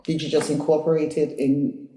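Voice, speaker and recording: A male interviewer with a fairly high, mellow voice. He asks questions and listens attentively, murmuring "mm-hmm" as his guest talks. The room is echoey. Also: flat delivery, moderate pace. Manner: attentive, frequent "mm-hmm" backchannels.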